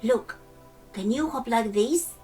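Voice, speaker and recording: A woman voicing a bold, teasing young male wild rabbit in a storybook, brisk and challenging. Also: on the high side, warm tone, far from the mic, faint music behind. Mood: teasing, scornful. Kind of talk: storybook character reading.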